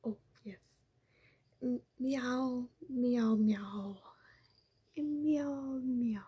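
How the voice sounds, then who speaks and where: A woman's voice: fairly high pitch, steady tone, drawn-out words, breathy edge, heavily acted. A woman whispering as a wheedling female vampire. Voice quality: soft whisper. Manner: pleading, wheedling, broken formal English. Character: scheming female vampire in silly disguises. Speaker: ASMR creator. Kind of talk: ASMR roleplay. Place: small bedroom with pink backdrop. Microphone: close webcam framing.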